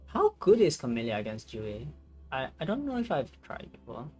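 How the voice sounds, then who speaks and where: A game streamer giving casual live commentary, thinking aloud in a hesitant, halting way. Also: medium pitch, huge pitch swings, darkish tone, soft background music.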